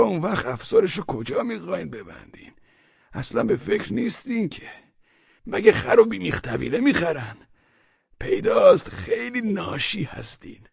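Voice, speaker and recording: A crafty old village peasant with a raspy, gravelly voice, pitched high and swooping wildly up and down as he haggles. The sound is dry and a little dull, like a lo-fi recording. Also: darkish tone, no room sound, heavily acted. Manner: haggling, wheedling, insistent. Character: stubborn, cunning old male village peasant.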